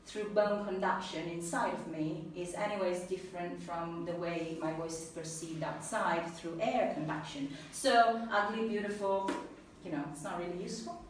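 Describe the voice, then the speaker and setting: A woman's voice: lowish voice, moderate pace, hardly any pauses. Italian woman speaking in an echoey room. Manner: earnest, animated, laughs easily. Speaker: speaker at a talk. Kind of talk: personal talk then audience Q&A. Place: event room with an audience. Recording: echoey room, mic at a distance, faint noise.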